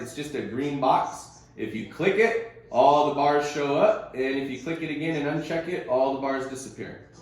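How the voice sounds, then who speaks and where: Very echoey male trading coach. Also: fairly high pitch. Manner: casual and conversational, uses slang like bro and bud. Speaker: host and trading coach on a group conference call. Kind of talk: informal explanatory coaching with question-and-answer. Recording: very echoey group conference call audio.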